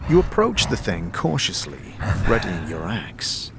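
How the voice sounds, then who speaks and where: A man's voice: on the low side, huge pitch swings, a little slow. A man delivering dramatic scripted narration as the game master of a fantasy story. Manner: descriptive second-person storytelling. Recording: clear background noise, ambience.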